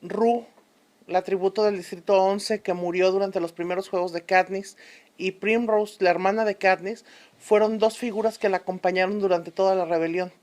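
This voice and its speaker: A woman plays an unsentimental female historian being interviewed on a fictional programme. Her voice sits at a medium pitch. She explains things deliberately and with authority, in radio drama style. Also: steady tone, darkish tone, close to an everyday voice. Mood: measured, authoritative.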